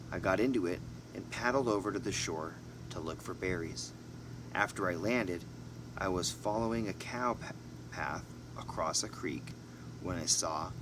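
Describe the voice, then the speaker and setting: Low-voiced man reading, noisy background. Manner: informal, stumbles and corrects himself. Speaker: amateur audiobook reader. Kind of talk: storybook narration with asides. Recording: noisy home recording with steady hum.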